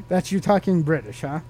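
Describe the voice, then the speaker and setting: A man's voice: sits high, darkish tone, a little hiss or hum. A man with an American accent co-hosting a podcast in a casual, teacherly chat, asking questions and explaining things simply to a child. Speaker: podcast co-host.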